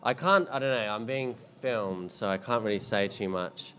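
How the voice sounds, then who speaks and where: Deep-voiced man, rambling. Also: normal speed, a bit bright. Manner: rambling, hesitant, many ums and restarts. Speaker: filmmaker answering audience questions. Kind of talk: casual panel Q&A. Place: indoor screening event.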